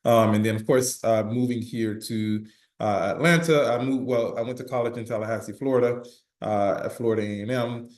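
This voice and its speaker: A man's voice: medium pitch, steady tone, a little fast, darkish tone, roomy sound. A man speaking as a panelist in an online discussion, talking hesitantly with many fillers. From United States. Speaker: panelist, business chamber leader.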